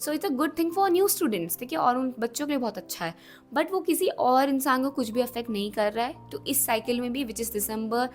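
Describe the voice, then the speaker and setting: A young Indian woman with a slightly hoarse throat, explaining things to students in a chatty, emphatic, reassuring vlog style, switching between two languages. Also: sits high, animated, moderate pace, faint music behind. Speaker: YouTube educator for exam aspirants. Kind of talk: casual explainer vlog in mixed Hindi and English.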